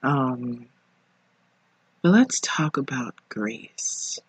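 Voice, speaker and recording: A podcast host speaking casually alone, in an informal, reflective way with pauses between phrases. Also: mid-range voice, lively intonation, husky, dry recording, rough recording. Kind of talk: casual solo podcast monologue. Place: living room at home.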